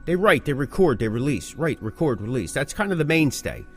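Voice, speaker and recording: A man's voice: mid-range voice, big pitch swings, fairly quick, faint music behind. A man co-hosting a podcast in casual chat, repeating phrases for emphasis. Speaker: podcast co-host.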